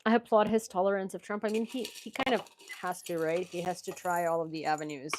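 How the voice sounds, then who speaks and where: A woman's voice: on the low side, fairly even intonation, a little fast, a little hiss or hum. A young woman from Ukraine with a Ukrainian accent, hosting a casual livestream, chatty with many fillers and restarts. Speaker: livestream host. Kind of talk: casual livestream chat reading viewer questions. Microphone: headphones.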